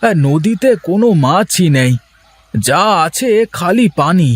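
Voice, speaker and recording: Brief: cartoon husband, tired and resigned, husky voice, male actor. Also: sits high, very animated, takes it slowly, no room sound, exaggerated voice. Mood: weary. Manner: sighing, resigned. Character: weary put-upon fisherman husband. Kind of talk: Bengali cartoon voice acting.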